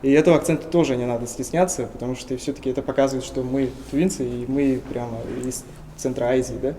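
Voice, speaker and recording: Young guy from tuva, mellow low-key voice, earnest, lots of uh pauses while he thinks. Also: fairly even intonation, slight background noise, rough recording. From Tuva, Russia. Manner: earnest, with frequent hesitation sounds. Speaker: interview guest. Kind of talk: casual interview answers.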